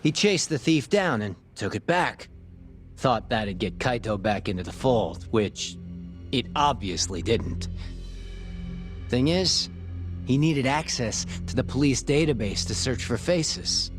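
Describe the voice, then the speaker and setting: A man plays a cagey street informant in a video game, talking slowly in a teasing, sardonic way. His slang comes out unhurried, at an average male pitch, with music clearly behind him. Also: lively intonation, natural voice. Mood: sly, recounting. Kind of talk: video game cutscene dialogue.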